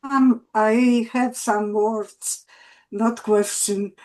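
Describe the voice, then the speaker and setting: An older woman with a Hungarian accent speaks slowly, with frequent restarts. Her voice sits fairly high. She is a workshop participant on a video call. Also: fairly even intonation, a little mellow. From Romania. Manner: halting, hesitant, with restarts. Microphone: online video call.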